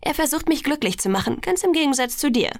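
A woman reader plays a reluctant teenage girl in an audiobook. She protests in an exasperated, earnest way, slightly high and with some creak. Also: big pitch swings, fast, dry recording, studio quality. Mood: angry.

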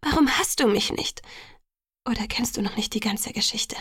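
A woman's voice: medium pitch, flat delivery, fairly quick, bright, forceful tone, husky, no room sound, in a whisper, studio quality. A woman voicing an anguished, guilt-ridden young woman in audiobook dialogue, pleading and murmuring. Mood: timid, guilty.

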